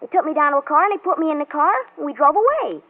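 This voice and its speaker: A woman's voice: sits high, animated, racing. A woman playing a guileless, chatty, easily distracted little boy in a 1940s radio drama. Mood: eager, earnest. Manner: childlike, rambling, eager. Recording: muffled old-time radio recording.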